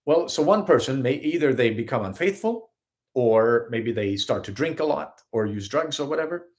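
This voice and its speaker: A man's voice: medium pitch, moderate pace. A man from Sweden with a Swedish accent, giving an earnest live-streamed spiritual talk as a healer and host. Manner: earnest, animated, acts out little scenes in quotes.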